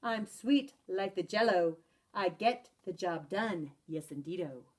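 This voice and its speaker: A woman teacher voicing an excitable boy kitten who pretends to be a Chihuahua and puts on a mock Spanish accent. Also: fairly low pitch, measured pace, voice pushed down. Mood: playful.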